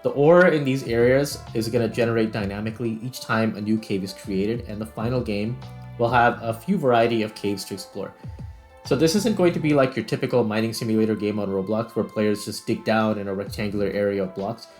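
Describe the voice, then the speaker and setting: A man's voice: fairly low pitch, brisk, darkish tone, soft background music. A middle-aged man who works as a game developer, casually narrating a screen-recorded tutorial.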